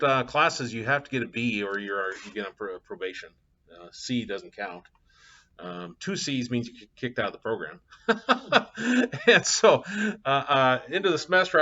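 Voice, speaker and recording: A man's voice: mid-range voice, bright, forceful tone. A middle-aged man teaching a live calculus class, chatting casually and thinking aloud with fillers. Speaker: math instructor. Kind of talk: live class lecture with anecdotes. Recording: dull lo-fi classroom capture.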